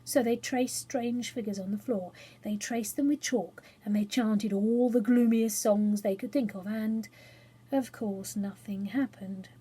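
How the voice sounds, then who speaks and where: Expressive, higher-pitched woman reading a children's story. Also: husky. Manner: expressive, dramatic pauses and emphasis. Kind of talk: animated children's story narration.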